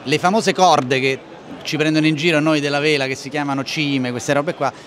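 A man's voice: on the high side, fairly even intonation, normal speed, fairly bright tone. A man, interviewing in a chatty, informal way. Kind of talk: conversational interviewing. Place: open trade-show stand. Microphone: shared handheld microphone. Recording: faint trade-fair background noise. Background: faint ambient noise.

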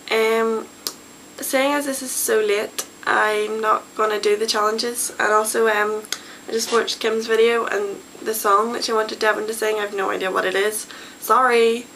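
A woman's voice: mid-range voice, vocal fry. An eighteen-year-old woman with a Northern Irish accent, chatting casually and self-deprecatingly in her bedroom, with many ums. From Northern Ireland. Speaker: vlogger. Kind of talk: casual vlog chat. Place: small bedroom at home. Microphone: built-in webcam mic. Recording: noisy home webcam recording.

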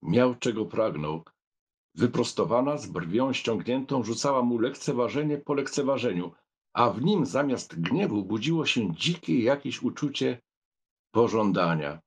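A man narrating a literary audiobook in a dramatic style, with a husky voice. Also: mid-range voice, lively intonation, a little slow.

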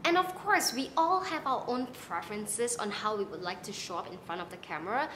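A young woman from Malaysia with a Malaysian accent, giving casual, animated advice to the camera from her living room. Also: medium pitch, fairly quick, slight background noise. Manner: chatty and animated, gesturing with her hands. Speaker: content creator. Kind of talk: casual talking-head vlog advice. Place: small apartment living room, indoors.

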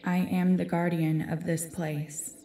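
Casting: actress for ancient female woodland guardian, fantasy audio drama, stern and formal, slow delivery, very reverberant distant sound. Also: on the low side, flat delivery, dark tone, a little hiss or hum. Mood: stern warning. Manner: formal, commanding, measured.

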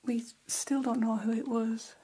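A woman playing a gossipy, attentive female vampire hairstylist in a gentle, unhurried, breathy whisper for an ASMR roleplay. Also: fairly high pitch, level tone. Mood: gossipy, confiding. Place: small decorated room, indoors.

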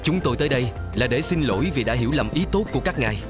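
Man as a fast-talking heroic cartoon dad. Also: medium pitch, animated, music in the background, lightly acted. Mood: earnest. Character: burly, earnest superhero farmer father in a children's cartoon. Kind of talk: animated cartoon dubbing.

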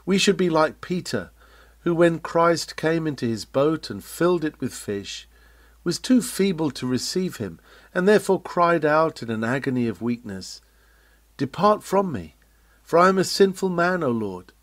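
A man with a slightly high voice reads a formal Victorian-style sermon. His delivery is oratorical and earnest, urging the listener on with solemn weight. The recording is close and dry, with very little room sound. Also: sing-song, moderate pace, no echo. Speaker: reader of historic sermons. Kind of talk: read-aloud 19th-century sermon, oratorical.

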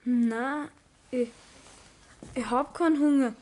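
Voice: high voice, slow pace, darkish tone. A performer playing a timid, guilty teenage boy apprentice in a 1960s radio play, hesitant and stammering, with a Bavarian accent. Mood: nervous, guilty.

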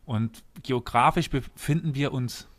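A man's voice: mid-range voice, measured pace, fairly bright tone. A young man explaining history in a casual podcast chat, thinking aloud and correcting himself as he goes. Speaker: guest presenter on a history podcast.

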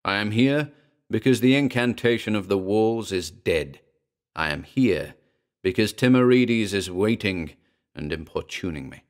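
A man with a very low voice reading the lines of a sharp, austere demon invoker who is really a boy, in a storybook. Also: animated, unhurried.

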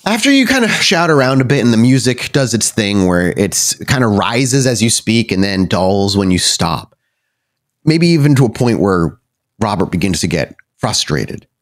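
A man's voice: on the low side, big pitch swings, normal speed. A man narrating a tabletop game as game master, descriptive and conversational, improvising as he goes.

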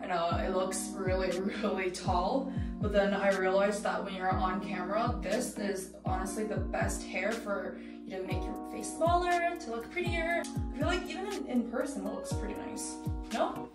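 A woman's voice: medium pitch, fairly even intonation, normal speed, distant mic, over loud music. Young woman vlogging in an echoey bathroom, native Cantonese speaker. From Canada, moved there while growing up. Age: young adult. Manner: chatty, informal. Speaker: TV host and vlogger. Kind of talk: casual vlog chat. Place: small home washroom, indoors.

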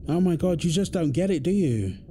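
A man's voice: fairly high pitch, animated, fairly quick, warm tone, slight background noise, close to an everyday voice. A man playing a tired, irritable firefighter husband in an intimate roleplay audio drama. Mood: frustrated, pleading. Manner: weary sighs, snappy, then apologetic.

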